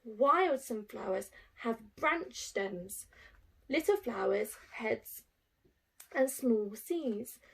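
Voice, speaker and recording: A woman's voice: fairly high pitch, animated, extremely slow, gravelly, breathy edge, rough recording. A woman infant school teacher reading aloud to young children, explaining things simply and reading expressively. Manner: addresses young children, explaining simply with rhetorical tag questions.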